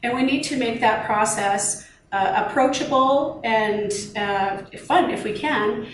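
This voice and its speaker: A woman's voice: fairly high pitch, takes it slowly. Woman lecturer explaining stuff at a workshop, super echoey, noisy recording. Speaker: university lecturer. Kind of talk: workshop presentation to colleagues. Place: meeting or seminar room. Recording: echoey room, distant mic, noisy background.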